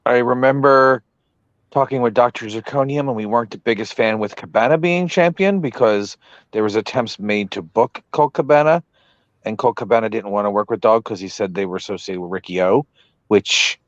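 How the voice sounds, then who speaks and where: A man's voice: lowish voice, dry recording, highs cut off. A man co-hosting a podcast who is losing his voice, hedging his recollections as he goes. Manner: hedging, repeatedly unsure of his memory. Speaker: podcast co-host. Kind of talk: casual podcast chat.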